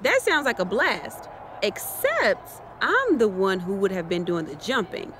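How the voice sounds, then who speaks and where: A woman's voice: medium pitch, very animated, unhurried, fairly bright tone, slight background noise. An actress playing a self-assured female wrestling challenger with a teasing manner. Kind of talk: video game cutscene acting.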